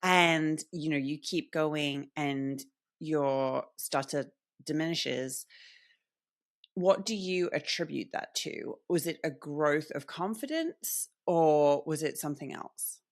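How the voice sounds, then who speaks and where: A woman's voice: low voice, takes it slowly. A woman hosting a podcast interview, attentive and asking thoughtful follow-up questions. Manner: attentive, with soft listening sounds. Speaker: podcast host.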